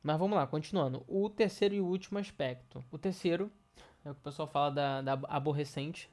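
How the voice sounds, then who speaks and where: A man's voice: on the high side, brisk, darkish tone. A man with a cold and a nasal voice, talking casually as an educator to parents, with many fillers and restarts. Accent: Brazilian Portuguese.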